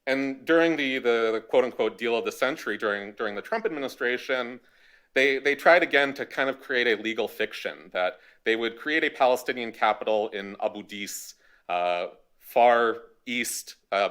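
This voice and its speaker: A man speaking as a history panelist at a university event, giving a measured academic talk with a dry, wry touch. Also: medium pitch, fairly bright tone, clear, resonant tone.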